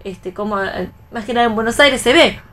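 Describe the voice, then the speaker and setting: A woman's voice: on the high side, fairly quick, fairly bright tone. An Argentine woman talking casually as a panelist in a radio round-table discussion.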